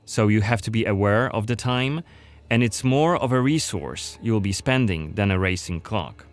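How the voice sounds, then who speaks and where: A man's voice: lowish voice, animated, moderate pace. A man narrating a scripted gameplay showcase as its presenter.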